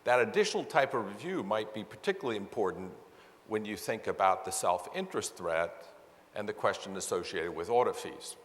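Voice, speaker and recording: A man's voice: fairly low pitch, expressive, a little fast. A man explaining case scenarios to an audience as a presenter, in a conversational way, often rephrasing himself. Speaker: presenter at a conference. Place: large meeting hall with sound system. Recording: long reverb of a large hall.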